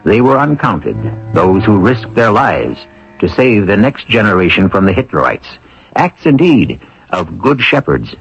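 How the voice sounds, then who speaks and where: A man hosting a radio drama, narrating from a script in a solemn, deliberate, dramatic manner. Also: fairly low pitch, very animated, vocal fry, husky, soft background music. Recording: muffled old radio broadcast.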